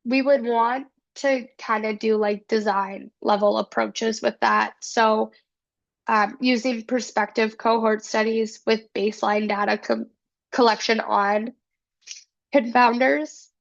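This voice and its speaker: A woman's voice: fairly high pitch, fairly even intonation, takes it slowly, creaky, highs cut off. A woman with a cold giving a casual academic presentation, earnest and full of fillers. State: has a cold. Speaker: student presenter.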